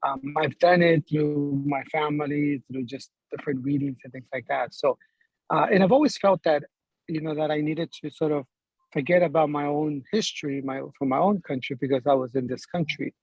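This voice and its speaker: Husky, higher-pitched man from Ecuador. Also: moderate pace, no room sound, lo-fi sound. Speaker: workshop participant. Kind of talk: informal personal sharing in a group discussion.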